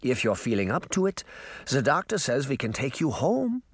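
A man voicing a kindly old grandmother in an audiobook, speaking gently with a light German accent. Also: mid-range voice, sing-song, moderate pace, slightly rough, no room sound. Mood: gentle, sorrowful. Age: older. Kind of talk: audiobook character voice.

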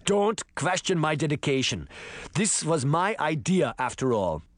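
A middle-aged school principal, voiced by a male audiobook reader, agitated and defensive. His speech swings in pitch and carries an anxious, angry growl. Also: big pitch swings, normal speed, no room sound.

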